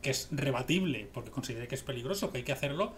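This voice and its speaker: A man's voice: medium pitch, lively intonation, fast, a bit bright. A man in his thirties with an accent from Spain, discussing politics casually and emphatically, rambling and repeating himself on a headset. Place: small room at home, video call. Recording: background noise on home stream.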